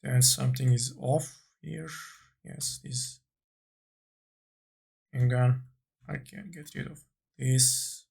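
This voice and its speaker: A man with a gravelly, husky voice talks through a software tutorial. He goes slowly, in short halting phrases, thinking aloud as he works through each step. His delivery is unscripted and instructional. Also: medium pitch, fairly even intonation.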